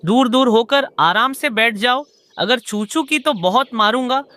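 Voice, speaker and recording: A woman's voice: on the high side, animated, measured pace. A woman voicing a greedy, bullying father crow in a moral cartoon, with a blustering manner. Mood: menacing. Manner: blustering, forceful. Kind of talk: Hindi moral cartoon voice acting.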